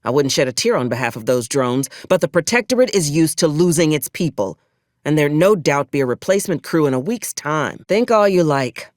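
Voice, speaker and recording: A woman's voice: low voice, expressive, a little fast, no room sound, clean studio sound. A woman playing an ambitious, ruthless military officer in a video game, wry, with formal old-fashioned wording mixed with folksy frontier slang. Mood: brusque, commanding. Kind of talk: video game character dialogue.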